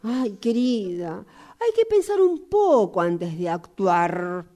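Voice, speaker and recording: A woman's voice: medium pitch, big pitch swings, takes it slowly, warm tone. A woman playing a fortune-teller with an Argentine accent in a radio drama, soothing yet firm and easily exasperated, reacting emphatically. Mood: gently reproving.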